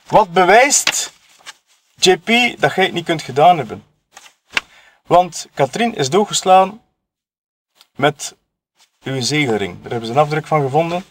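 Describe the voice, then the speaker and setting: An actor with a Flemish accent playing a sleazy, cocky photographer and dealer who taunts in colloquial, smug speech. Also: male voice, a little high. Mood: conspiratorial, insistent. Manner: colloquial, taunting, tag questions, chuckling self-justification. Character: sleazy, cocky male photographer and drug dealer, smugly mocking. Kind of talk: audio murder-mystery game acting.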